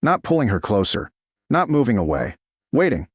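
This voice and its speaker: Low-voiced man narrating with dramatic swings. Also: very animated, moderate pace, breathy edge, dry recording, studio quality. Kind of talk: dramatic scripted romance audiobook narration.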